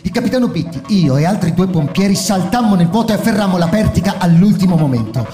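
A man narrates in the first person, intense and at times half-singing. His high voice sits in a roomy sound with music underneath. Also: lively intonation, fast. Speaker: narrator and lead character.

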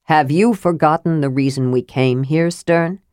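A woman as a low, level-headed half-elf leader. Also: unhurried, darkish tone, no echo, clean studio sound. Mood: firm reasoning.

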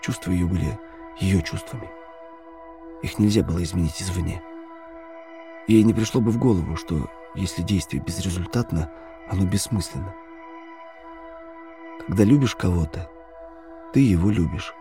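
Low-voiced male narrator over music. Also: normal speed, vocal fry, pauses often. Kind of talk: dramatic scripted narration of a novel.

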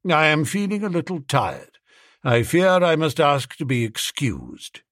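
A man acts an old English duke in a storybook reading. He is haughty and coldly polite, with formal, measured delivery and a close, dry sound. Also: on the high side, big pitch swings, fairly bright tone, no room sound, pitched above the performer's own voice. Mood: weary, firm.

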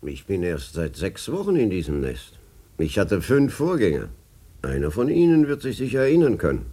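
An actor playing a harried army major in a German radio play, brusque and apologetic, with a husky voice. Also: male voice, sits low, wildly swooping pitch, normal speed, mellow, heavily acted. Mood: apologetic, hesitant.

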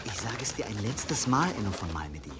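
A man's voice: fairly low pitch, very animated, brisk, music in the background. A man playing a cold, pious monk in a radio play, stern and self-righteous. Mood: cold, threatening. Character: cold, pious male monk guarding his dovecote. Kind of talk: German radio play acting. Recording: faint birdsong and pigeon ambience.

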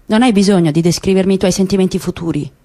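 A woman voicing a calm, ironic middle-aged man in a radio drama reading, speaking quietly and with measure. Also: mid-range voice, fast, voice pushed down, close to an everyday voice. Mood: measured.

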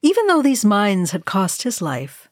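A woman's voice: medium pitch, wildly swooping pitch, unhurried, no echo, clean studio sound. A woman narrating a scripted fantasy story as a storyteller. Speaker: reader of a fan fiction story.

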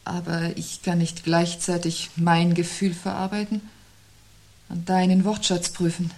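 A woman acting a sharp-witted, contrary wife in a 1970s radio play, phrasing things precisely and with dry irony. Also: lowish voice, flat delivery, unhurried. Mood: troubled, strained.

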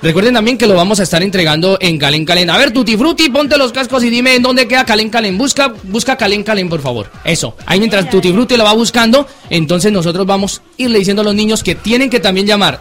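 High-voiced man hosting a kids' call-in show. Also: brisk, hardly any pauses. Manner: warm, doting, exclamatory talk to children. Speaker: host of a children's program.

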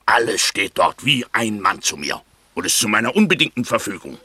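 A man's voice: on the low side, sing-song, brisk, sharp tone, slightly rough, no echo, full character voice. A man playing a pompous, self-assured aristocratic count in a German radio play, formal and grandiose. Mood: boastful.

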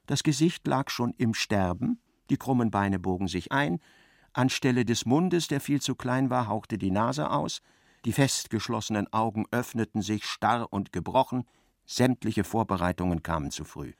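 A man narrating a literary radio drama as a wry, intense storyteller, expressive and precise, with a creaky voice. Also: lowish voice, normal speed, dry recording, studio quality. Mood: dry, dramatic.

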